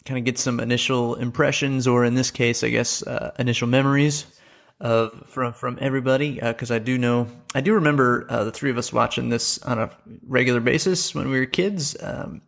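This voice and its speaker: Casual male podcast host with creaky voice. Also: mid-range voice, steady tone, a bit bright. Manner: rambling, halting delivery.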